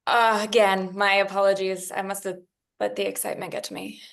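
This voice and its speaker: A woman's voice: medium pitch, flat delivery, a little fast, fairly bright tone, lightly acted. A woman playing an eager, apologetic young female factory worker in a screenplay table read, hesitant and polite. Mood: sheepish.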